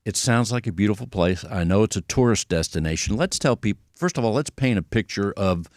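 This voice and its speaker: A male radio show host with a lowish voice, interviewing a guest in an easy, conversational way and steering him with questions. The sound is close and dry. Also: animated, a little fast, darkish tone, dry recording.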